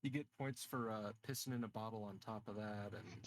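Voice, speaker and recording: A man's voice: on the low side, fairly quick, background noise. A man chatting casually as a player in a tabletop game group, with joking, crude, sweary interjections. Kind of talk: casual chat.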